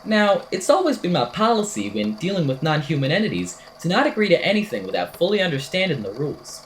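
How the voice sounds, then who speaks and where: A woman's voice: deep voice, big pitch swings, fast talker, a little hiss or hum. A woman playing an eccentric, whimsical podcaster in an amateur audio drama, telling a strange tale in a verbose, wry, theatrical way. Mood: storytelling, wry. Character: eccentric, whimsical podcaster recounting a supernatural statement.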